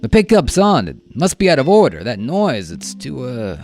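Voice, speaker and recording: A man's voice: medium pitch, wildly swooping pitch, normal speed, a little mellow, faint music behind, voice pushed up. A man playing a nervous, earnest young junior officer in a radio drama, stammering and hesitant as he stalls. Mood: nervous stalling. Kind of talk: live science fiction radio drama acting.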